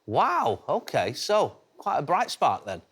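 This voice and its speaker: A man's voice: a little high, huge pitch swings, slow pace, a bit bright, breathy edge. A man with a Manchester accent hosting a TV quiz show, chirpy and encouraging, building suspense over each answer. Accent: Manchester English. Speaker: game show host. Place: TV studio.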